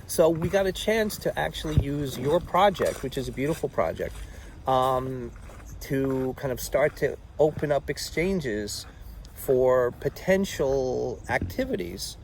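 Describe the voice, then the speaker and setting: A man hosting an interview outdoors in a garden, talking in a rambling, animated way. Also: medium pitch, noisy background. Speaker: show host. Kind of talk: conversational interview.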